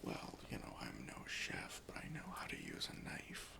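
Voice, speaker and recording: A man playing an officious but easily persuaded airport security officer in a whispered, deliberate ASMR roleplay, questioning with mock-stern politeness. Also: natural voice. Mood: agreeable, musing. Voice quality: whispery, breathy.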